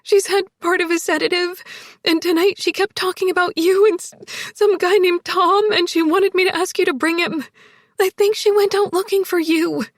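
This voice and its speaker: A woman's voice: extremely high pitch, fairly even intonation, normal speed, no room sound, studio quality, voice pushed up. A woman voicing a desperate, frightened woman in an audiobook, shaky and pleading, with breathless, apologetic delivery. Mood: anxious, apologetic. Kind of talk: audiobook character dialogue.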